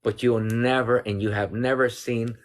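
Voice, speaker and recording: A man's voice: medium pitch, little pitch movement, moderate pace. A man speaking earnestly and measuredly as a guest in a discussion, slipping Spanish phrases into his English. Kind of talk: casual discussion.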